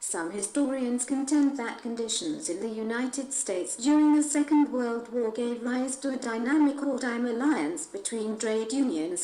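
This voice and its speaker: Mellow, higher-pitched woman reading aloud. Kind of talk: reading academic passage aloud. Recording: echoey room.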